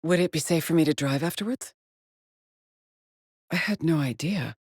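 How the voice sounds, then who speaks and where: An actress playing a haunted, weary woman astronaut stranded alone on an alien world in a video game, with a breathy voice and a grave, brooding delivery. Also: deep voice, moderate pace, darkish tone, no room sound, clean studio sound. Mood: anxious, guarded. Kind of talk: dramatic game voice lines, monologue logs.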